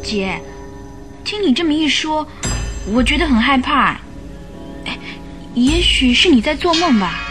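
A woman's voice: sits high, sing-song, unhurried, long pauses. An actress playing an anxious young woman who recounts events earnestly in a radio drama. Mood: frightened. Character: anxious young woman client recounting her sister's death. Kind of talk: Mandarin radio drama acting. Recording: radio drama with music behind.

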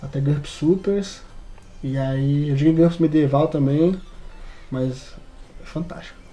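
A man's voice: fairly high pitch, unhurried, dark tone, lo-fi sound, faint music behind. A man with a Brazilian Portuguese accent chatting casually as a podcast co-host and game master.

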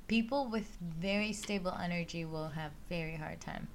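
A woman's voice: on the low side, normal speed, a little hiss or hum. A young woman from Greece, hosting a casual solo podcast, rambling and correcting herself as she talks. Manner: rambling, self-correcting, laughs often. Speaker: podcast host, astrology student. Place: outdoors with sirens around.

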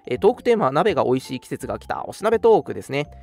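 A man's voice: mid-range voice, big pitch swings, rapid-fire, a little mellow. A young man co-hosting a radio show, chatting casually and laughing easily, sometimes reading out listener comments. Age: young adult. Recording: music behind the talk. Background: background music.

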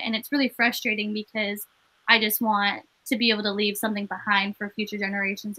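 A woman's voice: mid-range voice, bright, forceful tone, low-quality recording. A young woman from Maine, an actress and comedian, chatting casually as an interview guest with many "like" fillers.

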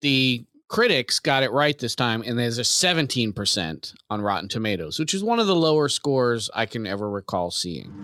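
A man's voice: mid-range voice, very animated, moderate pace, hardly any pauses, bright, forceful tone, no echo. Wry, mock-grandiose man hosting a podcast. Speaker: podcast co-host. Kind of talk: casual podcast discussion with a theatrical intro.

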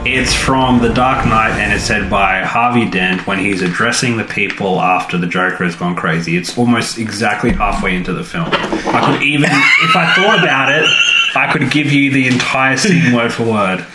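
A man's voice: fairly low pitch, moderate pace, raised voice, music in the background. A man co-hosting a podcast, asking curious questions and joking in casual conversation. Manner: quizzical, asks lots of questions, joking. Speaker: podcast co-host. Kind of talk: casual chat. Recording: roomy sound with faint background noise.

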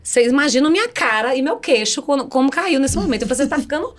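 A woman's voice: high voice, rapid-fire. A woman in her forties with a Bahian Brazilian accent, telling a personal story conversationally and acting out the dialogue as she goes. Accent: Bahian Brazilian Portuguese. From Salvador, Bahia, Brazil. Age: 40s. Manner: animated, acts out quoted dialogue. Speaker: podcast guest, singer and event promoter.

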